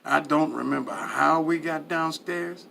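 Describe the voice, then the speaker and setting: A man reading a first-person story aloud in an animated, expressive way, with emphatic swells and hushed drops. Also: a little high, a little slow, creaky, slight background noise. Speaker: story reader.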